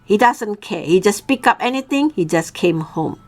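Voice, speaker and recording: A woman's voice: mid-range voice, animated, normal speed. A woman tells a story as an informal lesson, explaining didactically and often checking in with "okay?". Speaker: storytelling teacher and host.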